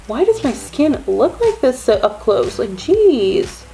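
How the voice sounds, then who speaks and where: A woman's voice: high-pitched, sing-song, slow pace, dark tone, faint music behind, low-quality recording. Chatty self-deprecating woman vlogging at home. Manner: rambling, chatty, lots of "like", self-deprecating asides. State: sniffly. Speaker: beauty vlogger. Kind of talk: casual self-deprecating chat to camera. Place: basement room at home, indoors. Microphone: camera on a desk with ring light.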